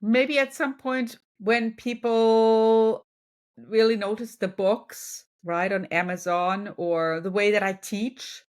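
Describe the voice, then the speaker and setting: Middle-aged woman, German accent, mid-pitched. Coach as interview guest, conversational, motivational, emphatic. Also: steady tone, slow. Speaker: business and wellness coach, podcast guest.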